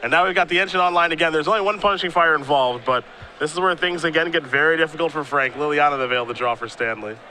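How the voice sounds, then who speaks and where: A man calling live game play-by-play, steady when describing plays and easygoing in banter with his co-host. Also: on the high side, fast talker. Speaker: play-by-play commentator. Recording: noisy live event audio.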